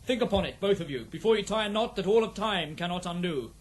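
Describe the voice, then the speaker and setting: Man playing a high-voiced noble warrior prince. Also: expressive, fast talker. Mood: gracious, resigned. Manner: declamatory, formal, stately. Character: proud, noble warrior prince, loving father. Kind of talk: fantasy audio drama acting.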